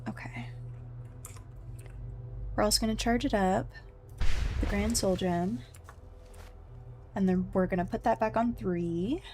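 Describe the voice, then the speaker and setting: A woman's voice: mid-range voice, a little slow, long pauses, darkish tone, slight background noise. A woman giving casual, chatty gameplay commentary, thinking aloud with playful little exclamations. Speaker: gamer streaming a blind play-through.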